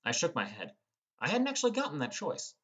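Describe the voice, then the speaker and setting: A man narrates a fantasy audiobook in the first person. His reading is scripted storytelling with a lively, moving pitch. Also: a little fast, breathy edge.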